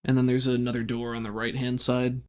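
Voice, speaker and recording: Male game master, mid-pitched, improvised scene-setting with hesitant word searches. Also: completely flat delivery, brisk, clear, resonant tone. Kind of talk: narrating a tabletop roleplaying game.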